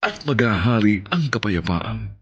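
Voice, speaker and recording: A man narrating a radio drama as its announcer, reading poetic script with solemn, deliberate phrasing. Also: on the low side, animated, fairly bright tone, slightly rough, highs cut off. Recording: music bed behind the voice.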